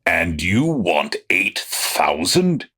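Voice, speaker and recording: A warped old miser in a radio play. The voice is very low and rasping, dragging out each scornful taunt with slow relish. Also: male voice, big pitch swings, sharp tone, exaggerated voice. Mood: cold, scornful. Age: older. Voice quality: gravelly, raspy. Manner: contemptuous, drawn-out taunting. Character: miserly, sneering, warped old rich man. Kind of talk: old-time radio drama acting.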